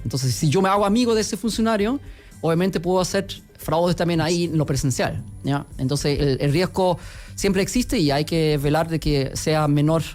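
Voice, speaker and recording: A man's voice: a little high, a little mellow. A man with a German accent, giving hesitant, filler-heavy answers as a guest on a radio interview. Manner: hesitant, many fillers and restarts. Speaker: guest, company executive.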